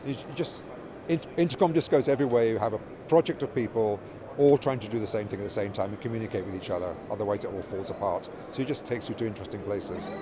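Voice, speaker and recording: A man's voice: lowish voice, quick pace. A middle-aged or older man giving an informal interview as a company product executive, explaining things with repeated words and restarted phrases. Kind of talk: informal trade show interview. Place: busy trade show exhibition hall. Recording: loud trade show floor noise. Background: clear ambient show floor noise.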